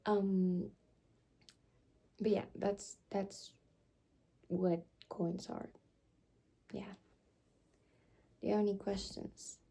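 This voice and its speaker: Soft-spoken ASMR woman, low, dark gravelly voice, slips in and out of a whisper. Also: rough recording. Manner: halting, rambling explanation with many fillers. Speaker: ASMR creator.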